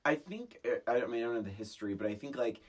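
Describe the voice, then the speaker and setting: American, middle-aged, husky, swooping expressive pitch, halting emphatic delivery, casual podcast chat. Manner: animated, exclamatory, halting and emphatic. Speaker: podcast co-host. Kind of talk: casual film discussion podcast.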